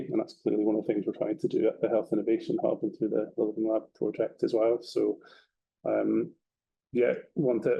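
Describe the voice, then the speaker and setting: A man's voice: lowish voice, vocal fry, dark tone, slightly rough, rough recording. A man with an Edinburgh Scottish accent, a guest in a webinar talking in an easygoing way and often repeating words as he goes. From Scotland. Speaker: guest, property company representative. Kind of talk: conversational webinar talk.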